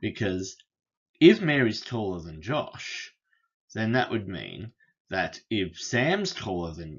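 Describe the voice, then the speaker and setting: A man lecturing on philosophy over slides, unhurried, with frequent fillers and restarts. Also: fairly low pitch, slightly rough, dull audio. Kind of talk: explaining logic over slides.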